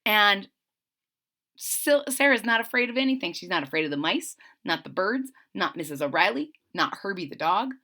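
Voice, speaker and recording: A woman reading a children's story aloud in an expressive style, with simple retelling asides. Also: medium pitch, normal speed.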